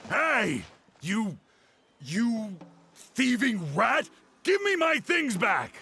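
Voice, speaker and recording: An actor playing a woeful, pleading old scholar with a dramatic, earnest manner in a video game. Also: male voice, extremely high pitch, very animated, extremely slow, creaky, bright, forceful tone, exaggerated voice. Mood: furious. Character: old scholar man, pleading and woeful, devoted to his research. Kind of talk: video game character acting.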